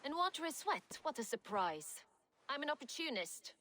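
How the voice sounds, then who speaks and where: A woman's voice: sits high, sing-song, normal speed, fairly bright tone. An actress playing a wry Viking woman and former smuggler who speaks dryly, with a wistful edge. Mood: sardonic. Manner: dry, sardonic, wistful. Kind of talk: game dialogue.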